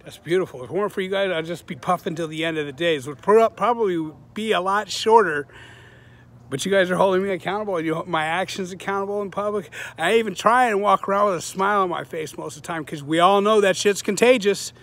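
A man's voice: high voice, fast, fairly bright tone. A man in his forties talking casually to his viewers in a loose, rambling, earnest way with plenty of swearing, recorded outdoors on a phone held at arm's length. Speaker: vlogger, channel host. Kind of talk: casual selfie vlog to viewers. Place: outdoors on a sunny suburban street.

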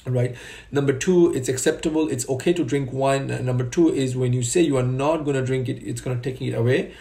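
A man's voice: mid-range voice, fairly quick, gravelly, mellow, echoey room. A man in his forties talking casually to a webcam from a room at home, loose and conversational with many fillers and restarts. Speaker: vlogger. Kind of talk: casual spoken commentary. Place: home room with curtains, indoors.

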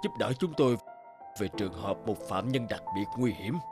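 A man's voice: on the low side, lively intonation, normal speed, music in the background, lightly acted. A man voicing a burly prison lieutenant who speaks formally and officially in a dubbed cartoon. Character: burly male prison lieutenant, stern but polite.

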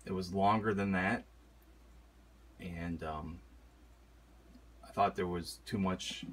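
A man's voice: low-pitched, a little hiss or hum. A man casually showing off a collectible horror mask in a vlog, talking loosely with lots of ums and uhs. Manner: relaxed, many filler ums and uhs. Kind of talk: casual show-and-tell vlog. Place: indoor room with horror posters. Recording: music playing clearly behind.

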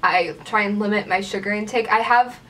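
A woman's voice: medium pitch, brisk, bright, forceful tone, distant mic, slight background noise. A young woman vlogging casually at home, rambling with lots of "like" fillers while gesturing. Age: young adult. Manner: chatty, lots of "like" fillers, gestures with her hand. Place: small room at home, indoors by a door.

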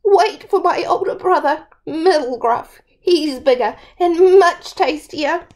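A woman voicing a little young billy goat, squeaky, pleading and cheeky, in a storybook. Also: slow pace, a little mellow. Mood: timid, pleading.

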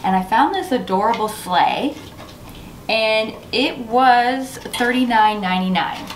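A woman's voice: a little high, slow, a little hiss or hum. A woman in her forties hosting a chatty home decor vlog, talking through a table setting in her dining room with a camera across the table. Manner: chatty, bubbly, self-teasing. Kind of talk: casual how-to vlog.

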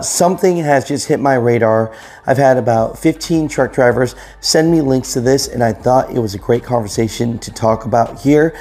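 A man's voice: medium pitch, mellow. A man in his thirties hosting a trucking news show, talking casually to camera from his living room on a lapel mic, chatty and addressing viewers directly. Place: living room, seated on a couch. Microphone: clip-on lapel mic.

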